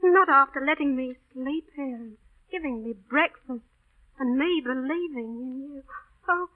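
A woman's voice: high-pitched, a little slow. An actress playing a feisty, defiant young London street girl, blunt and emotional, in old radio drama style. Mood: frightened, hurt. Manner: blunt, emotional, sharp-tongued. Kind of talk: 1930s-40s radio drama acting. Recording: muffled old radio broadcast recording.

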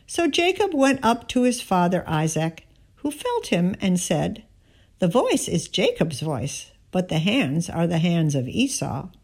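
A woman giving a scripted Bible reading, narrating and voicing the dialogue in deliberate, measured phrasing. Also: lowish voice, sing-song, a little mellow, slightly rough. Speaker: scripture reader.

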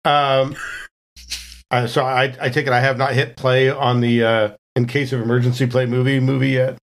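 A man's voice: mid-range voice, level tone, normal speed. A man chatting casually as a tabletop game player, thinking aloud in a hesitant, wry, conversational way.

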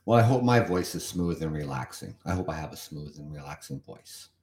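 Man, from canada, podcast host, deep voice, rambling commentary, echoey room. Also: lively intonation, a little fast, a little mellow. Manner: chatty, repeats himself, comments on what he reads. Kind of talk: solo podcast talk reading an article.

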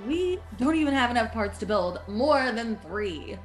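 A woman playing a flustered, excitable, plain-spoken robot builder in an old-time radio science-fiction drama. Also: fairly high pitch, measured pace, roomy sound, faint music behind. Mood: worried protesting. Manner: excitable, stammering protests.

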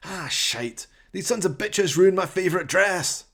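A man voicing a hapless, scruffy and loyal stoner in a radio play, flustered and excitable. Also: high-pitched, measured pace, gravelly, pitched above the performer's own voice, full character voice. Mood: outraged. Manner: hesitant, flustered, exclaiming.

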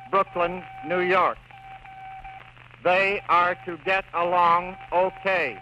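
A man playing a trapped young army radio operator sending a last message in halting, telegraphic phrases, in 1940s radio drama style through a radio filter. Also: sits high, bright, forceful tone, heavily acted. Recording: muffled, noisy old radio recording.

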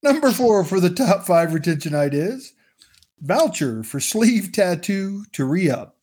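A man's voice: high-pitched, big pitch swings, unhurried, a little mellow, slightly rough. A man co-hosting a casual news chat, mixing earnest points with jokes. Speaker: co-host.

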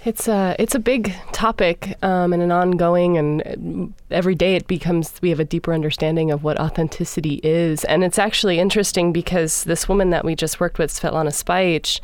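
A woman singer in a radio interview, speaking thoughtfully and pausing often to find her words. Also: lowish voice, steady tone, a little mellow, no room sound. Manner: thoughtful, searching for words with many fillers. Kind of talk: interview conversation.

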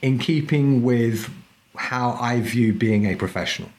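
A man's voice: medium pitch, a little slow, a little mellow. A middle-aged man talking casually as a podcast guest, a historical fencing instructor who speaks in a chatty, emphatic way and often checks in with "right?". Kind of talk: casual interview chat.

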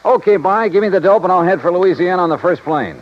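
An actor playing a brisk, casual American insurance investigator in clipped 1950s radio drama style, on an old recording. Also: male voice, sits high, lively intonation, muffled recording. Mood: brisk, businesslike. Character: brisk, wisecracking male freelance insurance investigator.

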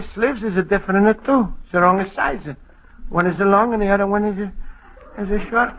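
An excitable Italian immigrant. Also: male voice, high voice, slight background noise. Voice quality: broken English, stammering. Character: naive Italian immigrant man, excitable and earnest. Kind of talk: old-time radio comedy acting. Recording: lo-fi old radio recording.

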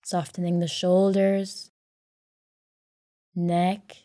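Female meditation guide: unhurried, soothing delivery, lowish voice, gentle pauses for a bedtime relaxation script. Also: little pitch movement, dry recording, studio quality. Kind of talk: guided sleep meditation, soft scripted narration.

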